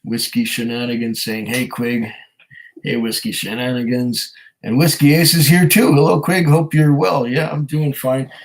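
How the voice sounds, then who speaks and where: A man with a hoarse voice from a cold, hosting a casual live stream, reading viewer comments and chatting in a rambling, hesitant way. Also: medium pitch, level tone, normal speed, rough recording. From Canada. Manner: rambling, hesitant, chuckles often. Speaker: whiskey channel host reading live chat.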